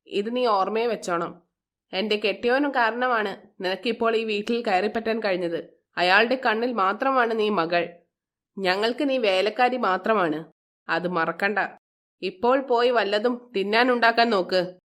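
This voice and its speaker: A woman's voice: mid-range voice, unhurried, fairly bright tone, close to an everyday voice. Woman acting a spiteful, scolding stepmother. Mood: scornful. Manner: scolding, contemptuous. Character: spiteful, scheming middle-aged stepmother, sharp and contemptuous.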